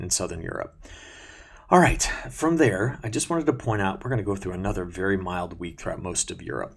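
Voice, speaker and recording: A man's voice: sits low, creaky, slightly rough. A man presenting an informal, explanatory weather briefing, talking viewers through maps step by step in a conversational way.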